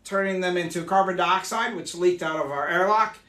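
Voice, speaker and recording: A man in his forties, hoarse from losing his voice, chatting upbeat as he demonstrates mead making live in a small room. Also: sits high, fairly quick, sharp tone, roomy sound. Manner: chatty, upbeat, explains as he works. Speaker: meadery owner hosting a live stream. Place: small home meadery room, indoors.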